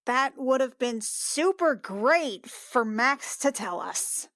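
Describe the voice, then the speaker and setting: A woman's voice: high-pitched, lively intonation, slow, a bit bright, dry recording, clean studio sound. A woman playing in a tabletop role-playing game, improvising in casual conversation. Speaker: player in a tabletop game podcast. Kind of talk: casual improvised in-character chat.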